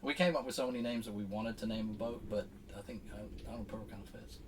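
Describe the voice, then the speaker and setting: A man's voice: fairly low pitch, fast, noisy background. A middle-aged man talking casually as a podcast guest, explaining things a little hesitantly. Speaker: podcast guest, retired sailor. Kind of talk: casual chat. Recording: faint background ambience.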